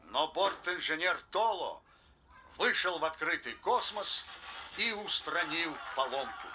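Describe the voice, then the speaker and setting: A high, very sharp male announcer voice for a cartoon. He declaims slowly and ceremoniously, like an official space bulletin. There is faint noise behind him. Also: lively intonation, exaggerated voice. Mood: grave, urgent. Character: solemn, triumphant male space-mission news announcer. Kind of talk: dramatic scripted bulletin.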